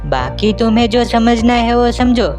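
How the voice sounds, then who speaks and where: Woman playing cautioning cartoon child, fairly high voice, insistent, worried, music behind. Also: lively intonation, moderate pace, close to an everyday voice. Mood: warning, insistent. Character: village child, worried and warning their friends. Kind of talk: animated story acting.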